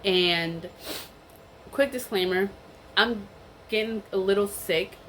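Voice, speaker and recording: A woman's voice: medium pitch, takes it slowly, fairly bright tone, a little hiss or hum, rough recording. A young woman with a cold and a stuffy voice, telling a rambling story in a casual chat while she eats. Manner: chatty and rambling, many fillers and restarts. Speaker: vlogger.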